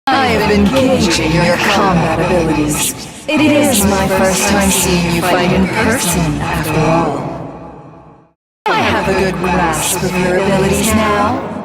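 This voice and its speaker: A woman voicing an arrogant, sadistic alien warrior craving godhood in a video game, with theatrical, drawn-out taunts and a breathy, husky edge. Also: medium pitch, over loud music, close to an everyday voice. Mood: sinister amusement. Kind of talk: dramatic video game villain acting.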